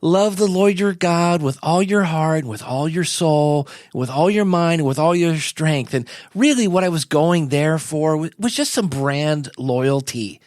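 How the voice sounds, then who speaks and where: Guy doing a laid-back modern messiah on a comedy podcast, high voice, folksy and kinda halting, dry sound. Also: darkish tone, dry recording, natural voice. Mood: casual, mildly exasperated. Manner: hesitant, folksy, conversational. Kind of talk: casual comedic interview chat.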